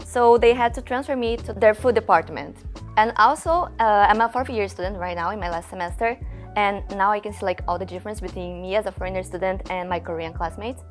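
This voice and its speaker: Chatty Brazilian student woman. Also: medium pitch. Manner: chatty, uses "like" and "so" a lot. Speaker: university student guest.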